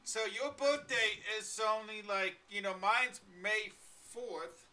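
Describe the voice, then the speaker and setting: Bright rambling man in his forties. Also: high-pitched, slow, far from the mic. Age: 40s. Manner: rambling, lots of fillers and chuckles. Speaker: podcast host. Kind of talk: casual live-stream chat.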